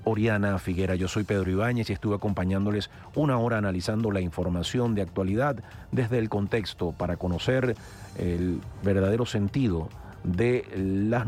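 A man with a Venezuelan Spanish accent hosting a radio news analysis hour, measured and hesitant with many fillers. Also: on the low side, darkish tone, soft background music. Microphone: studio microphone.

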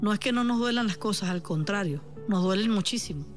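A woman with a Venezuelan accent speaking on the radio as a therapist, calm and reassuring, addressing the listener directly. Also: mid-range voice, fairly quick, faint music behind. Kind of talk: supportive radio talk.

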